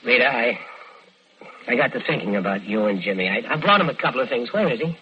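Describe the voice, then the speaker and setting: An actor playing a smooth-talking American killer who tells his own crime in vintage radio drama style. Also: medium pitch, very animated, quick pace, close to an everyday voice. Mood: coaxing, pleading. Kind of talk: 1940s-50s radio crime drama acting. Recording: dull lo-fi old radio recording.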